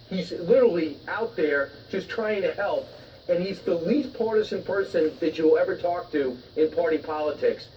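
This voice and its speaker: A man's voice: sits high, darkish tone. Opinionated male news host, muffled audio. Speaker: morning news show host. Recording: muffled, distant, faint noise.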